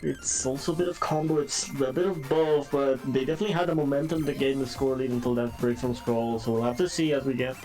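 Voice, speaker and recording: A man's voice: medium pitch, steady tone, fast talker, hardly any pauses, mellow, faint music behind. Analytical male esports co-caster. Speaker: esports commentator. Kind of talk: live esports commentary.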